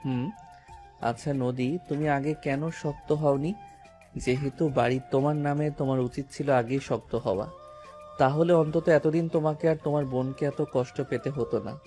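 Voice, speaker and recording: Romantic male lead played by a man: mellow, mid-pitched, earnest and protective, audio story with soft music. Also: fairly even intonation, normal speed, natural voice. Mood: earnest, reassuring. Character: supportive, protective young man in love. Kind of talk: audio story drama acting. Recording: music behind the voice.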